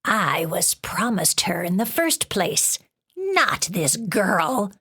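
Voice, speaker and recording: A woman voicing a stern, scratchy-voiced female monster in a storybook, speaking slowly with cold menace. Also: mid-range voice, sing-song, a bit bright, husky, full character voice. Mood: scornful.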